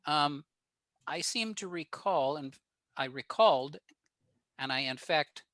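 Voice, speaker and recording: A man's voice: fairly high pitch, animated, slow, fairly bright tone, no room sound. An older man with an American accent gives an informal technical presentation over a video call, explaining things plainly. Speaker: guest presenter at a radio club.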